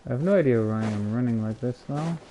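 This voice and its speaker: A man's voice: mid-range voice, fast, warm tone, a little hiss or hum. A man streaming a game and giving casual live commentary as he plays. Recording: slightly dull stream mic.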